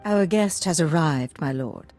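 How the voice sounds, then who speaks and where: A woman's voice: sits low, animated, a little slow, darkish tone, faint music behind. A woman playing a haughty, guarded noblewoman in a video game, with a formal and stern aristocratic manner. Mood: formal, cool. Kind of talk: scripted video game drama acting.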